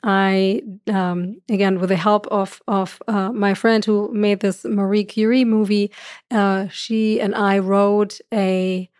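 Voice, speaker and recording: A middle-aged woman from Germany with a German accent, speaking earnestly as a podcast guest on a boom mic. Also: little pitch movement, slow, darkish tone, no room sound, clean studio sound. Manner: earnest, gestures a lot, laughs often. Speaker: guest astrophysicist. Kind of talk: podcast conversation. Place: room with curtains. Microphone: studio mic on boom arm.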